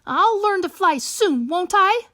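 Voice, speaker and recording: A woman's voice: very high-pitched, lively intonation, slow pace, sharp tone, no room sound, studio quality, voice pushed up, full character voice. A woman voicing an eager, earnest young male bird in a storybook, with childlike exclamations. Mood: hopeful.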